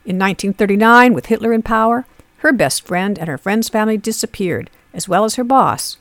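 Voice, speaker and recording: A woman's voice: medium pitch, brisk, no room sound. A woman reporter reading scripted news narration.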